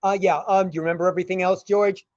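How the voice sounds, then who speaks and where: A woman playing a cautious criminal go-between in a radio play, halting and careful, on a dull recording. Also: on the low side, fairly even intonation, a little mellow, lightly acted. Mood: cautious, hesitant. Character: cautious, hesitant criminal go-between giving orders. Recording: dull, echoey room sound.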